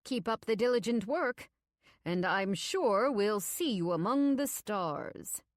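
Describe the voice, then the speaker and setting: A woman's voice: medium pitch, animated, slow, dry recording, voice pushed up. Woman acting sinister husband, audiobook villain, stern, formally polite, menacing, not-quite-British accent, dry sound. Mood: smugly ominous. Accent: ambiguous, not quite British. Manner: formal, clipped, ominous politeness.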